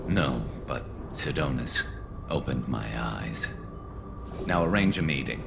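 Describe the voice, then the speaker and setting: An embittered alien soldier out for vengeance in a video game. The voice is extremely deep and gravelly, with a flanged alien filter. The delivery is grim and sardonic, swinging in tone, over clear music. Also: male voice, lively intonation, a little slow, heavily acted. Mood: cold menace. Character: vengeful, embittered male alien soldier, weary and hard-edged. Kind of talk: video game dramatic dialogue.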